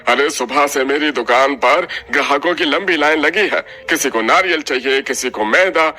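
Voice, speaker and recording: A man's voice: a little high, moderate pace, pushed and bright, soft background music. A man playing a chatty, nosy neighbourhood grocer in a cartoon story. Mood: nosy, brisk. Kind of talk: Hindi cartoon story voice acting.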